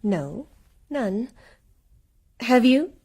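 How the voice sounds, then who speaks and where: An actress playing an inquisitive, anxious wife in a radio play ghost story, speaking in an earnest, probing way. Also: female voice, on the high side, very animated, drawn-out words, a little mellow, husky, heavily acted. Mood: playful. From New York.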